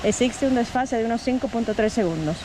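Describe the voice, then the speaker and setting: Over clearly noisy outdoor ambience, a woman reads informational text aloud. Her voice sits a little high, and the delivery follows the written wording. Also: brisk.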